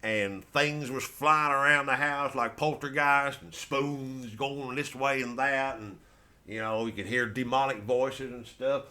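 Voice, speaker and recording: A man's voice: mid-range voice, steady tone, measured pace, sharp tone. An American man doing stand-up as a rambling comic storyteller, with a folksy, colloquial and meandering delivery. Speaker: stand-up comedian.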